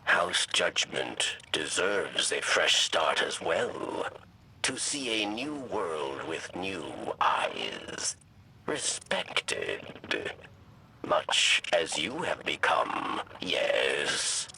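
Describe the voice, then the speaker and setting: Game role: ancient male alien schemer, extremely low and gravelly, drawn-out halting delivery, cryptic, over noisy ambience. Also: big pitch swings, bright, forceful tone, heavily acted. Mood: solemn. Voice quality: gravelly, croaking. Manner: halting, cryptic, refers to himself in third person. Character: old, scheming, weary male insectoid alien elder. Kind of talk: video game dialogue acting.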